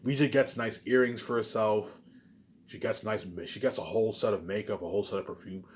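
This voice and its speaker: A man's voice: lowish voice, fairly even intonation, brisk, a little hiss or hum. Man rambling casually in repetitive, emphatic bursts. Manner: repetitive, restarts phrases, frequent filler catchphrases.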